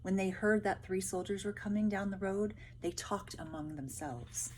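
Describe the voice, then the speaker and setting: A woman's voice: medium pitch, moderate pace, a little hiss or hum. A woman teacher speaking casually to pupils, explaining and reading a storybook aloud. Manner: explanatory, addressing pupils directly.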